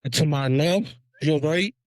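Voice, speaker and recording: A man's voice: a little high, unhurried, no room sound. A man hosting a casual podcast, opening the show and asking questions in a playful, joking way. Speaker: podcast host.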